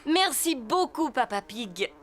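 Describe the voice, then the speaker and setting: High, brisk cartoon lady rabbit. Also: very animated, over a noisy crowd, very noisy, exaggerated voice. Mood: delighted. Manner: chirpy, matter-of-fact. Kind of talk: French cartoon dialogue for young children.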